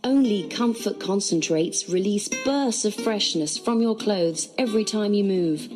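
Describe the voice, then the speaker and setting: A woman's voice: medium pitch, measured pace, music in the background. A woman reading scripted product adverts as a commercial voice-over.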